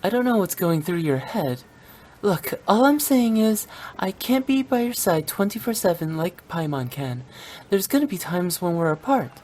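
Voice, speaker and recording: A woman's voice: on the low side, animated, moderate pace, dark tone, a little hiss or hum, lightly acted. A woman voicing a mischievous young woman funeral director in a video game, playful and teasing. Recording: game audio with faint music.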